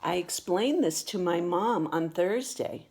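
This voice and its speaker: A woman narrates a children's story in a slightly low-pitched voice. Her pace is slow and deliberate, and she reads expressively, as a storyteller does with a picture book. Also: animated, a little mellow, a little hiss or hum. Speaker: storybook reader.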